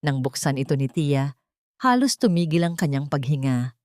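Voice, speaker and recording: Low-voiced woman narrating a drama. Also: big pitch swings, a little mellow, dry recording, clean studio sound. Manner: measured, dramatic storytelling. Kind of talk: scripted audiobook narration.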